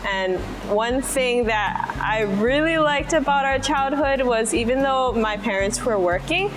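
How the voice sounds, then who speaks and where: A woman's voice: high voice, animated, a little slow, music in the background, low-quality recording. A woman casually explaining her family business, with many fillers.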